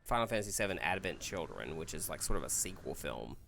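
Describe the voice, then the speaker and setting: Gravelly low-voiced man, lively casual chat. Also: fast talker, slight background noise. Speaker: podcast co-host.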